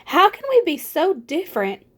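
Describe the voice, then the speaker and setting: A woman's voice: extremely high pitch, big pitch swings, moderate pace, fairly bright tone. A woman reading a children's storybook aloud as scripted narration. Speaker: storybook reader.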